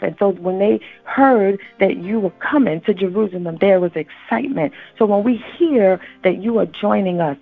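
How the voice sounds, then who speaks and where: A man's voice: sits high, creaky, breathy edge, narrow, tinny audio. A man leading a fervent spoken prayer, addressing God again and again. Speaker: prayer leader. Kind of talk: spoken Palm Sunday prayer.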